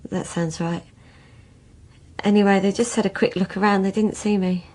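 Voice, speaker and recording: A woman's voice: medium pitch, steady tone, normal speed, a little mellow, close to an everyday voice. An actress playing a serious, subdued young woman under police questioning in a radio drama. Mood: matter-of-fact. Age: young adult. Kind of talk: naturalistic radio drama acting.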